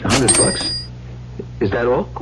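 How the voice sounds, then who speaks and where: A man's voice: mid-range voice, a little slow, background noise, natural voice. An actor playing a cynical, slangy, money-hungry gambler poet who tells his own story in an old-time radio drama. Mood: grasping, let down. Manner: wisecracking, slangy, hard-boiled. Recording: muffled old radio recording.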